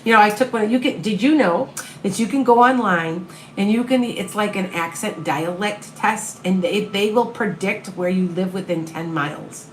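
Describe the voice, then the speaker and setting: A woman with a Midwestern accent talks to her live viewers in a calm, comforting way. The room around her is echoey, with a faint hum behind her voice. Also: mid-range voice, moderate pace. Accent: Upper Midwest American. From American Midwest. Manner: calm, soothing, reassuring. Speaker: tarot reader and medium. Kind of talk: live-stream reading viewer questions.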